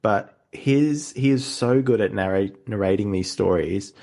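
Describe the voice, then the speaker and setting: A man's voice: on the low side, unhurried, creaky, mellow. A man co-hosting a podcast in casual discussion, earnest and emphatic, repeating phrases for stress. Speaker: podcast co-host.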